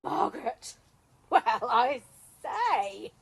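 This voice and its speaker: A woman's voice: fairly high pitch, very animated, drawn-out words, vocal fry, husky, exaggerated voice. A woman playing a teasing, theatrical, gossipy British tennis club member in an amateur radio comedy. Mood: mocking, amused.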